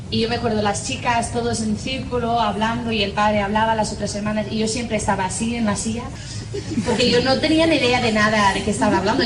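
A religious sister from Northern Ireland with an Irish accent, telling her faith story in a humorous, self-mocking way with many fillers. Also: fairly high pitch. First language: English. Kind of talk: personal faith testimony. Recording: distant mic in an echoey noisy room.

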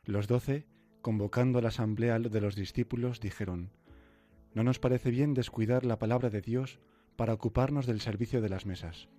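A man's voice: mid-range voice, steady tone, moderate pace, mellow, soft background music. A young man with a Castilian Spanish accent speaking as a radio presenter. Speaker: radio co-host, seminarian. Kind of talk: scripture reading and conversational radio. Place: radio studio. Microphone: studio microphone.

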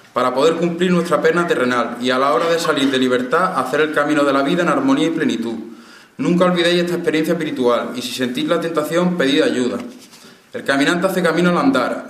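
A man's voice: fairly high pitch, a bit bright, reverberant, a little hiss or hum. Earnest man reading a solemn reflection. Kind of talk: read reflection.

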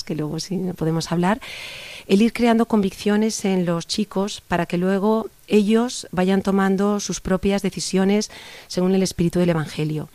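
A woman's voice: on the low side, dark tone, no room sound. A middle-aged woman from Barcelona, a guest in an interview, talking at length in an earnest, rambling way with many restarts. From Barcelona, Spain. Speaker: interview guest, youth organizer. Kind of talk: casual conversational interview.

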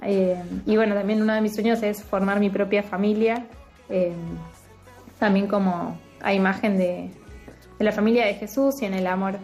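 Woman, 30s, thoughtful with pauses, faint music under. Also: medium pitch, steady tone, a little mellow. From Argentina. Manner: thoughtful, hesitant, many fillers. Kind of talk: recorded personal message.

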